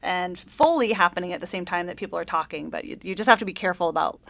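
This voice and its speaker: A woman with a fairly low voice talks as an interview guest, explaining things casually. The sound is dry and close, with no room echo. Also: fast talker, gravelly, fairly bright tone. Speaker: radio play director and producer, interview guest.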